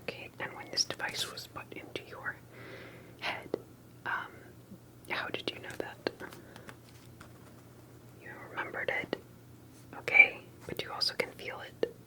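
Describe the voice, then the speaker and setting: A woman speaking in a breathy whisper, gently and deliberately asking questions in an ASMR roleplay interview. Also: poor audio. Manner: soft-spoken, deliberate, questioning.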